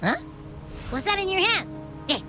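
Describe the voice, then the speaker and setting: A woman voicing a cheerful, chatty young companion character in a video game, playful and quick to exclaim. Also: very high-pitched, sing-song, music in the background. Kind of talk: game voice acting.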